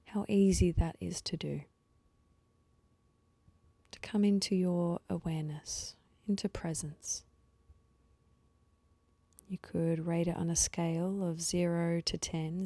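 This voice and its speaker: Low, soft-voiced woman guiding meditation. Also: creaky, no echo. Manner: slow, soothing instruction with pauses. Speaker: meditation guide. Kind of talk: guided meditation.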